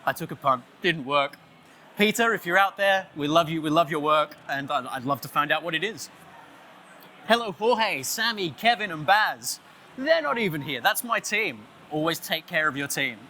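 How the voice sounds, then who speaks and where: Australian man, loud voice, bright tone, slightly high, jokey conference speaker. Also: expressive, normal speed, a little hiss or hum. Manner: jokey, playful, chatty with the crowd. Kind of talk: lively conference talk with audience banter. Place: conference stage, audience on headphones.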